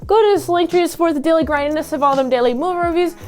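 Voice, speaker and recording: A woman's voice: very high-pitched, very fast. A woman hosting a casual review, talking in a chatty, informal way with playful asides. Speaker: reviewer and channel host.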